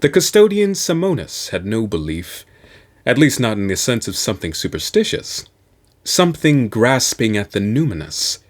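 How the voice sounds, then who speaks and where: A man reading a science fiction novel aloud as host, with a theatrical, deliberate delivery. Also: medium pitch, sing-song.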